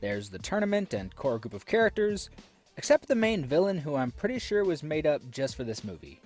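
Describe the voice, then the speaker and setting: A man's voice: fairly high pitch, sing-song, a little fast, a little mellow, faint music behind. A man giving a scripted review commentary with sarcastic, exasperated asides. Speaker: film reviewer.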